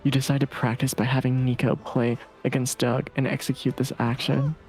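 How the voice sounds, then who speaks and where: A man's voice: medium pitch, level tone, moderate pace, darkish tone, soft background music. A man casually reading along and chatting as a player on a live game stream.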